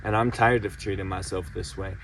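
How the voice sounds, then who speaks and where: A man's voice: low-pitched, steady tone, fairly quick, fairly bright tone, slight background noise. Frustrated teenage guy venting, distant mic. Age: late teens. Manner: frustrated, heated and insistent, repeating himself. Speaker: vlogger. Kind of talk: emotional personal vent to camera.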